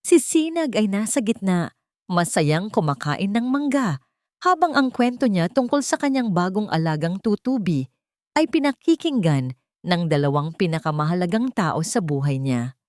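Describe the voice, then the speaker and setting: A woman reading a dramatic love story as a narrator, in emotive, measured phrases. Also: mid-range voice, sing-song, darkish tone, no room sound, studio quality.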